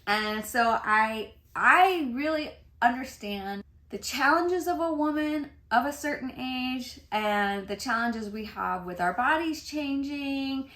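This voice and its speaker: A woman's voice: high voice, expressive, slow pace, few pauses, sharp tone. Chatty woman in her fifties. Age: 50s. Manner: chatty, laughs often. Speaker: fashion vlogger. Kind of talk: casual chat to camera. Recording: echoey room.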